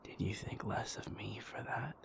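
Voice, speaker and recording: A man playing a tender, protective werewolf partner in an intimate ASMR roleplay, speaking gently and haltingly in a breathy, gravelly near-whisper. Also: on the low side. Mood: tender, vulnerable. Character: tender, protective male werewolf partner comforting a sleepless lover.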